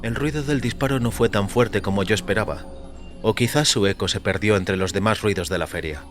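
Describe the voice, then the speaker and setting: Male narrator, low voice, scripted crime fiction, first-person storytelling, music underneath. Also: a bit bright.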